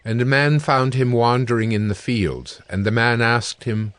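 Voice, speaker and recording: A man narrating scripture in a measured, solemn storytelling style. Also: mid-range voice, fairly bright tone, faint music behind. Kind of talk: scripted dramatic Bible narration.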